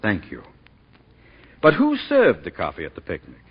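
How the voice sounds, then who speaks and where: A man's voice: fairly low pitch, wildly swooping pitch, normal speed, slightly rough, full character voice. An actor playing a relentless, sardonic prosecutor in 1940s radio drama style, insistent and pointed as he questions. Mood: pressing, sly. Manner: insistent, pointed, theatrical cross-examining. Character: relentless, sardonic male prosecutor grilling witnesses in court. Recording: muffled 1940s radio broadcast recording.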